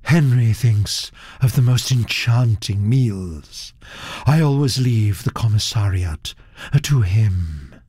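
Man voicing a dreamy gushing actress, slow murmur, close dry sound. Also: on the low side, expressive, warm tone, breathy edge, no echo, exaggerated voice. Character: gushing absent-minded woman, stage actress.

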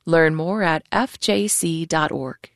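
Creaky low-voiced woman announcer. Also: slow, no room sound, studio quality. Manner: crisp, even, informational. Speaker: sponsorship announcer. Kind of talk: scripted underwriting read.

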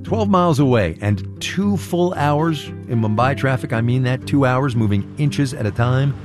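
A male radio reporter narrates a scripted story with a lively, varied melody. He talks like a storyteller, in short punchy phrases, at a middling pitch with faint music underneath. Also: normal speed.

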